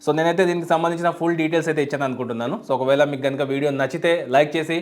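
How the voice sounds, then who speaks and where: A man's voice: fairly high pitch, steady tone, rapid-fire. A man explaining a product step by step as a tech reviewer, in an unboxing video style. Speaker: YouTube tech reviewer and host.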